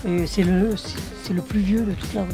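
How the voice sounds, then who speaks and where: A woman's voice: medium pitch, steady tone, brisk. A woman shopkeeper chatting casually as she answers a question. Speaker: fur shop keeper. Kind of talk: casual chat. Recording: music clearly behind the voice.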